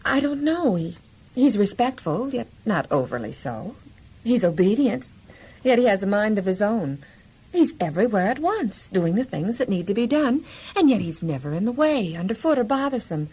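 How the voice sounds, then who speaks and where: An actress playing a rich man's admiring, coaxing wife in a children's audio Bible drama, coy and gushing with drawn-out emphasis. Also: mid-range voice, very animated, a little mellow, breathy edge, poor audio.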